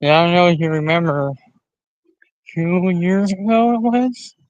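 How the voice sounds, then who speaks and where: A man hosts a casual livestream in a slightly high-pitched voice. He speaks slowly and haltingly, circling back to repeat phrases as he rambles to his viewers. The sound is close and dry. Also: smooth, no room sound, highs cut off. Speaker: live stream host.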